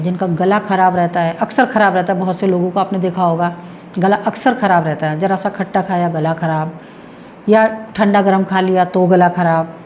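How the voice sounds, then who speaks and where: A woman presenting health tips, calmly explaining a home remedy step by step while showing how it's done, repeating points as she goes. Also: mid-range voice, slight background noise. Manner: explains with repetition while demonstrating. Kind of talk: demonstration lesson.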